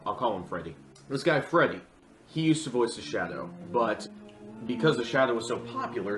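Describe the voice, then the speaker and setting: A man giving an informal, comedic film review with sarcastic, exaggerated commentary. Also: mid-range voice. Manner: sarcastic, exaggerating for comic effect. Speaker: video reviewer and host. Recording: roomy echo under music.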